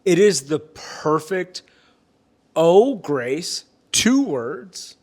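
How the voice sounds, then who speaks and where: A man's voice: a little high, very animated, very slow. A young man vlogger chatting casually and joking with his partner. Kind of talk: casual chat.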